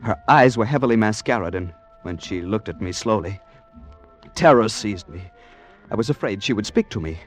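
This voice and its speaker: Guy acting a prim nervous american tourist, stammery, lowish voice that swings around, old radio show with music. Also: lively intonation, normal speed, close to an everyday voice. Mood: uneasy, confiding. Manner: flustered, stammering, polite nervous chuckles. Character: fussy, timid, prim American male tourist narrating his adventure. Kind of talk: 1940s-50s American radio drama acting. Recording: vintage radio broadcast with music.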